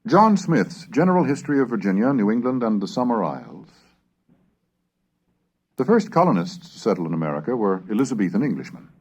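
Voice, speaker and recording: A man giving a formal, measured reading of historical English texts in a scripted, declamatory narration style. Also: fairly low pitch, very animated, darkish tone, slightly rough.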